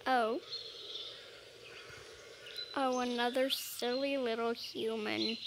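A woman's voice: a little high, fairly even intonation, slow pace, background noise. A woman playfully role-playing in a video game, musing casually as she plays. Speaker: gamer role-playing as a dragon. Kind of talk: casual gameplay narration with playful role-play. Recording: game music behind the voice.

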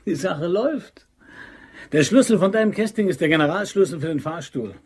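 A boastful amateur robber for a crime radio play. The voice is husky and a little high. He is cocksure and clipped as he schemes. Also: animated, fairly quick. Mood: cocky, scheming. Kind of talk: German crime radio drama acting.

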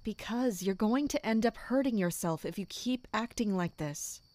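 A woman playing a concerned, patient servant and confidante in a fantasy audio drama, speaking gently and with measure. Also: medium pitch, expressive, normal speed, no room sound, close to an everyday voice. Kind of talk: fantasy audio drama acting with first-person narration.

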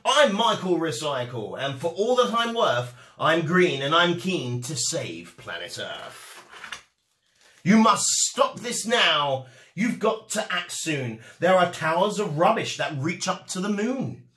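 A man voicing an earnest, bold eco superhero from a storybook, with urgent, drawn-out emphasis. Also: fairly high pitch, big pitch swings, fairly bright tone, echoey room, heavily acted.

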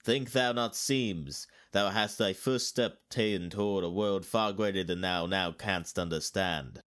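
An actor playing a wise old Jedi mentor, calm and solemn, in a measured Shakespearean dramatic reading. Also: male voice, deep voice. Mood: sage, encouraging. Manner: measured, archaic theatrical verse.

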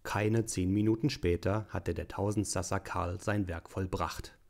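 A man's voice: low-pitched, fairly even intonation, unhurried, gravelly, a little mellow. A man narrating a youth detective audio drama in a scripted storyteller style. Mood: measured.

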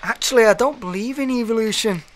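A man's voice: very high voice, fast talker. An actor playing a flustered young male college student in a radio play, stammering and repeating words in a noisy recording.

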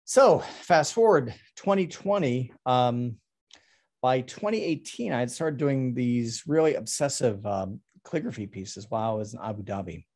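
A middle-aged man talking casually about his artwork on a video call, halting with many ums and restarts. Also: medium pitch, lively intonation, a little mellow. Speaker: artist presenting his work. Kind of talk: casual talk over a slide presentation.

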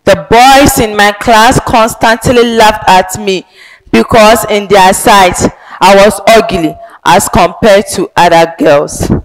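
A woman's voice: mid-range voice, slow, faint music behind, rough recording. Young Ghanaian woman declaiming on stage. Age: young adult. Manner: theatrical, emphatic, declamatory, with sudden loud swells. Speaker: pageant contestant. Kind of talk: dramatic advocacy monologue on stage. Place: large indoor stage.

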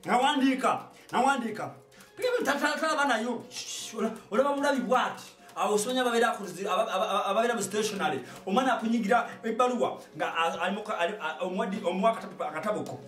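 A young man with a Ugandan accent and a very high voice hosts an informal comic monologue. He is animated, exclaims often and repeats his phrases, picked up from a distance with music behind him. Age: young adult. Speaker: host of an online TV show. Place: small indoor studio with padded backdrop. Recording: roomy echo, distant microphone, music behind.

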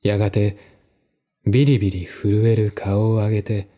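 A man narrating a mystery novel as a dramatic audiobook, measured and deliberate, with pauses between phrases. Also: on the low side, little pitch movement, dark tone. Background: faint music.

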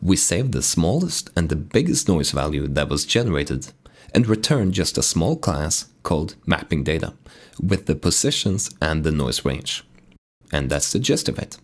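A man with a raspy voice from being sick, calmly explaining code in a tutorial walkthrough. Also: low voice, moderate pace. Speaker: game dev tutorial host. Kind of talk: screen-recorded coding tutorial narration.

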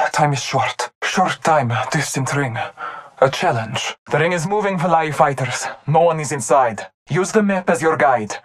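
A woman voicing a solemn, devout masked hunter in a video game, with a Nordic accent, formal grave phrasing and a muffled mask filter. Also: low voice. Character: solemn, devout masked hunter-warrior, androgynous, reverent and fierce. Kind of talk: video game character voice lines.

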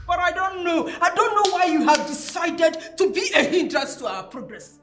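A greedy, quarrelsome Nigerian mother in a melodrama. Her voice is high and very animated, with emphatic, confrontational delivery. It sounds in a roomy space with music behind it. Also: moderate pace. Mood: defiant, indignant. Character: domineering, greedy, quarrelsome mother of a grown daughter. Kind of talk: screen melodrama acting.

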